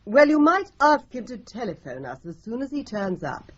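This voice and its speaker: An actress playing a patient, practical longtime secretary at a law firm in a radio play. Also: female voice, fairly high pitch, very animated, moderate pace, slightly rough. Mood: composed. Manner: composed, practical, polite. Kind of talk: radio drama acting. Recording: dull lo-fi radio recording, faint hiss.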